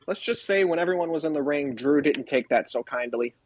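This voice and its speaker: A man's voice: a little high, lively intonation, brisk, over a noisy crowd. A man co-hosting a podcast, talking casually as he recaps wrestling. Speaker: podcast co-host.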